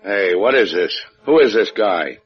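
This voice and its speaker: Low-voiced, deadpan tough guy. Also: unhurried. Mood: tough, suspicious. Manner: deadpan, wisecracking. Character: tough, sardonic hard-boiled boat-owning adventurer man. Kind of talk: 1950s radio adventure acting. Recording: dull 1950s radio recording, faint music.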